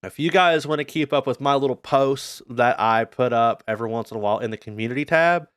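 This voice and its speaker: A man's voice: medium pitch, fairly quick, a bit bright, clear, resonant tone, dry recording, studio quality. A North American man giving a casual channel update as a gaming YouTuber, talking informally and earnestly in a rambling way.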